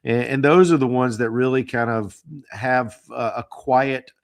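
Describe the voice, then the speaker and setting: A man hosting a financial podcast, hoarse from the flu, talking casually with frequent fillers and repeated words. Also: mid-range voice, steady tone, a little slow. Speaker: podcast host.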